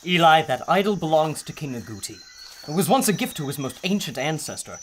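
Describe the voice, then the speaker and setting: Casting: male actor as bookish, cautious archaeologist, slightly high voice, polite and excitable, for adventure radio play. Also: a little fast. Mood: principled. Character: earnest, scholarly, cautious archaeologist. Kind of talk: pulp adventure audio drama acting.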